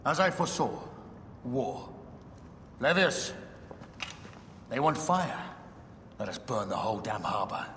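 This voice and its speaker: An actor playing a commanding, warlike Roman general in a dramatic video game cutscene. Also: male voice, mid-range voice, very animated, unhurried, pauses often, a bit bright. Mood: grim, resolute. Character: commanding, bellicose Roman general and ruler, decisive. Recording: echoey hall reverb, faint background noise.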